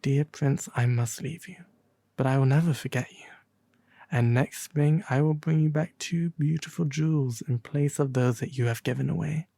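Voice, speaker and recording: A man voicing a kindly, earnest little swallow in a storybook, soft and breathy, wistful and gentle. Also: on the high side, normal speed, dry recording. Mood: wistful farewell.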